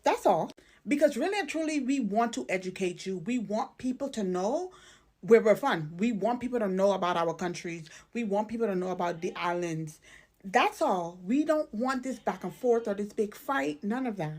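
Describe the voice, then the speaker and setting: A woman's voice: mid-range voice, expressive, moderate pace, darkish tone. A woman from the Caribbean making an earnest, pleading appeal. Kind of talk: casual appeal video.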